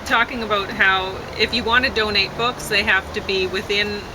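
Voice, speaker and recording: A woman's voice: steady tone, normal speed. Hesitant, rambling woman over traffic noise. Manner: hesitant, rambling, trailing off mid-thought. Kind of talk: casual chat. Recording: constant traffic and outdoor rumble noise.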